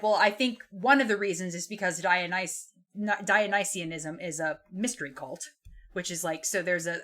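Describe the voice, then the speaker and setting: A woman on a podcast panel discussing books casually, thinking aloud in an animated way. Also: lowish voice.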